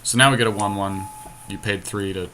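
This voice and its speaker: A man with a fairly low voice and a bright, forceful edge. He works through game moves out loud, counting and reasoning as he goes, in the casual style of a podcast co-host. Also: steady tone, brisk, slight background noise, poor audio. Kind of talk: casual strategy commentary while playing a card game.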